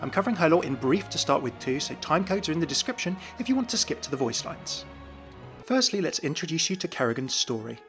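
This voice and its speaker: A man's voice: fairly high pitch, lively intonation, quick pace, fairly bright tone, faint music behind. A man hosting a video, giving scripted narration of video game lore.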